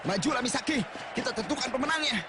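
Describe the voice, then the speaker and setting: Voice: sits high, animated, quick pace. An actor voicing a determined boy soccer player in a cartoon, spirited and resolute. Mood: fired up. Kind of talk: dubbed cartoon acting. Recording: loud music over the voice.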